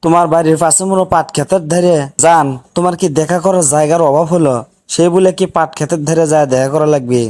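A man's voice: a little high, steady tone, fast, darkish tone, no room sound, natural voice. A man voicing an anxious, broke young village boyfriend in a comedy cartoon, with a North Bengal accent and a whining, exasperated way of talking. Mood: puzzled. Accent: North Bengal Bangladeshi. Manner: whining, exasperated comic complaining.

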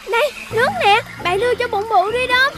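A performer voicing an eager, naive young animal sidekick in a children's cartoon, excitable and full of questions, with a southern Vietnamese accent. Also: very high-pitched, fairly quick. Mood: anxious. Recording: loud music behind the voice.

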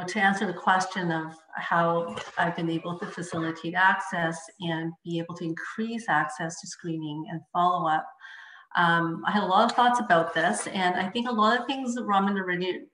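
A woman's voice: lowish voice, reverberant, poor audio. A woman family physician whose first language is Mandarin, presenting on a webinar video call in a chatty way, with many fillers and restarts. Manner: chatty, frequent fillers and restarts, laughs softly.

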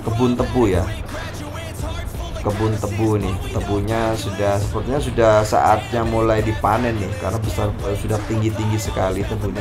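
A young man chats to his viewers from a motorbike, his voice a bit low and relaxed as he rides along open roads. Background music plays clearly under his talk, along with the hum of the engine. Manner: chatty, repeats "teman-teman" to viewers, slow filler pauses. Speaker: motovlogger. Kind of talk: casual riding commentary. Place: outdoors on a moving motorbike, rural roads.

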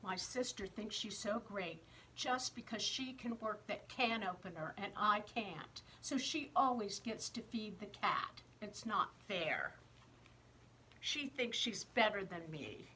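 Storybook woman performer as a small envious sibling: petulant grumbling, unhurried and clear-edged bright tone. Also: mid-range voice, animated, slight background noise, rough recording. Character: small, envious younger sibling griping about an older sister. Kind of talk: expressive children's picture book reading.

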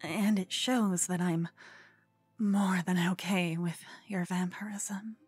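A woman playing a cute, obsessed con-woman fangirl in a comedic ASMR roleplay, soft, often whispery, eager and cheekily salesy. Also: lowish voice, steady tone, slow pace, husky, soft background music. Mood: hopeful, flirty. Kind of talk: ASMR roleplay, comedic one-sided dialogue.

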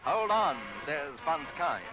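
A man's voice: a little high, lively intonation, slow pace, low-quality recording. An Australian man narrating a sports newsreel in scripted 1950s commentary style, with wry, colourful phrasing on a vintage soundtrack. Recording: vintage newsreel soundtrack, loud music behind. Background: loud music.

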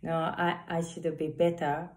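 A woman in her thirties with a strong Japanese accent, slowly reading love affirmations to a phone camera in a small room, with earnest, halting English and frequent self-corrections. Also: low voice, level tone. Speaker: saxophonist vlogger. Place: corner of a small room indoors. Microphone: phone camera at arm's length.

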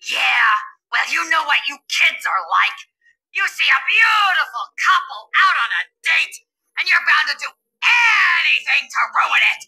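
A woman voicing a grumpy, lovesick older school lunch lady in a video game, brash and coarse, with a loud, nasal, cackling voice. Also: high voice, sing-song, unhurried, exaggerated voice, poor audio. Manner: brash, coarse, swooning over a teacher. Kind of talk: video game cutscene acting.

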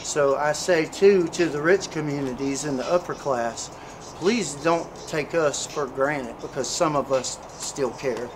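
A man's voice: a little high, measured pace. Earnest, preachy man on a noisy street. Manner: preachy, earnest, fillers like "you know". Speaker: interviewee. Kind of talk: street interview, casual storytelling.

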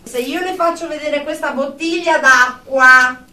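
Radio drama role: officious female psychiatrist played by a woman, high, bright voice, slow clinical delivery, roomy distant mic. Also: exaggerated voice. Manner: officious, formal, spouting pseudo-medical jargon. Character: brisk, clinical female psychiatrist leading group therapy.

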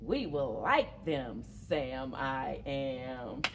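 Very expressive woman reading to young children. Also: very deep voice, very slow. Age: middle-aged. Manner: animated, encouraging, speaks to young children. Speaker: children's librarian hosting story time. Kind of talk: children's story time, sing-along and read-aloud. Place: indoors among library bookshelves. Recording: clear background noise, room ambience.

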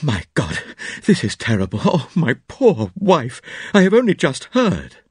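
A man voicing a hypocritical middle-aged husband with a high, slow, overwrought delivery. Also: very animated, slightly rough, no echo, clean studio sound. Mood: mock grief.

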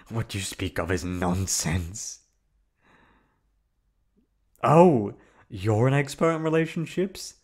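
A man's voice: mid-range voice, full character voice. A man playing a possessive, lonely young prince in an ASMR roleplay, speaking in formal, stilted phrases to the lover he holds captive. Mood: agitated, angry. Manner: formal, stilted diction, swinging from tender to commanding.

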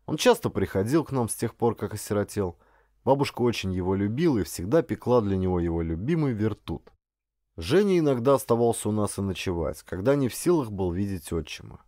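A man reading a first-person literary story aloud as an audiobook narrator, in an expressive storytelling manner. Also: lowish voice, a little fast, dry recording, clean studio sound.

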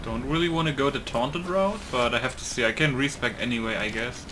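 A man's voice: medium pitch, a little fast. A man giving casual, rambling gameplay commentary with muttering and offhand swearing. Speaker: gaming video creator. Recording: noisy home setup, loud computer.

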